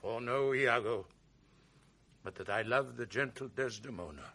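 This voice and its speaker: An actor playing a proud noble general in a radio drama, speaking verse in a measured, commanding way. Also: male voice, medium pitch, pushed and bright, husky. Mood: composed. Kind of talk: 1980s radio drama acting.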